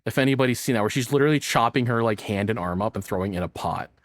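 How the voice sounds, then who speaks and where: Expressive, sweary man reacting casually. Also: lowish voice, racing, no echo, clean studio sound. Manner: profane, rambling, expressive. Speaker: streamer reacting to a video.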